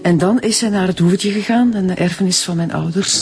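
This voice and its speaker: Woman playing guarded flemish sister being questioned, hesitant, measured, radio drama, music behind. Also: on the low side, a little mellow, close to an everyday voice. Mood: guarded, sad.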